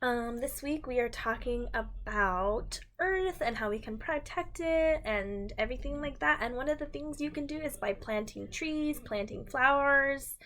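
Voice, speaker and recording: A woman's voice: high-pitched, lively intonation, unhurried, hardly any pauses, a bit bright. A woman reading a picture book aloud to young children in a sing-song manner, speaking to them directly as a teacher would.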